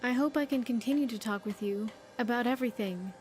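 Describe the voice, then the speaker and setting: A high-pitched young woman, voiced by an actress, plays an earnest game companion. She talks in a sincere, chatty way with slightly formal wording, over soft background music. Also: brisk, close to an everyday voice. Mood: reflective, grateful. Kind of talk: video game character dialogue.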